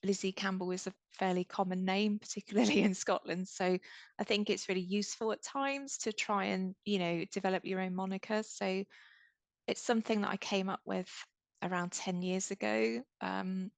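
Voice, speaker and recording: Hesitant soft-spoken woman, dry sound. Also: medium pitch, steady tone, normal speed, creaky, no room sound. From English Midlands. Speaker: podcast guest, clay artist. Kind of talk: casual conversation. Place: small home studio room.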